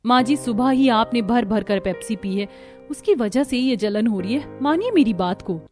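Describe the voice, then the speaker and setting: Woman playing young cartoon daughter-in-law, high voice, well-meaning but fed up. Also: lively intonation, moderate pace, darkish tone, faint music behind, natural voice. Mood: earnest.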